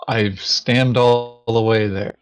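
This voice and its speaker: A man playing a board game, talking casually in terse, deadpan remarks. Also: fairly low pitch, takes it slowly. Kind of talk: casual gaming chat. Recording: dull, lo-fi voice chat microphone.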